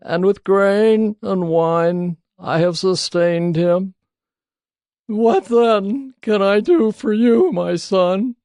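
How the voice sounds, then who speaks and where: A man's voice: high voice, extremely slow, a little mellow, clear, resonant tone, no room sound, clean studio sound, pitched above the performer's own voice, full character voice. A man voicing a frail, solemn old father in a dramatised scripture reading, speaking in a declamatory way. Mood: grave, sorrowful. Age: older.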